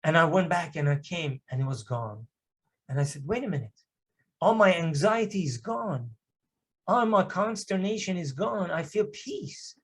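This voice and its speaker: A man from Iran, a native Persian speaker, delivers a personal testimony in church. He speaks earnestly, pressing hard on key moments. His voice sits a little high for a man. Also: expressive, normal speed, slightly rough. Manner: earnest, emphatic storytelling with swells of intensity. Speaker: guest speaker giving testimony.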